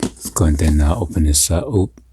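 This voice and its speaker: A man casually narrating an unboxing in a halting, hesitant way, as the host of the video. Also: a bass voice, expressive. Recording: noisy, with crinkling packaging and clicks. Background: packaging crinkling and clicking.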